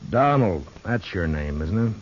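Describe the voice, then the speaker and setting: An American man playing a grave, steady frontier marshal in a 1950s radio western, measured and laconic. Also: sits low, slightly rough, full character voice. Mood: firm, calm. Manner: measured, laconic, plain-spoken. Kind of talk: 1950s American radio drama acting and first-person narration. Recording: dull 1950s radio recording with music.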